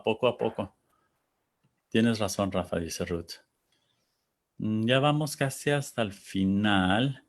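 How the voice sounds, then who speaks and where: Thoughtful Spanish-speaking man from Texas. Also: mid-range voice. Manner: thoughtful, searching for words, pauses. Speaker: panel host reading audience comments. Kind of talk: casual reflective talk.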